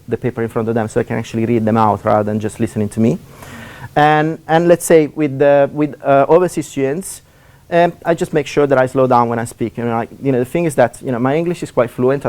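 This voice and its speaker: Man, Italian accent, university lecturer, fast and animated, frequent fillers and restarts. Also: medium pitch. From Italy. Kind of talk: seminar talk on assessment.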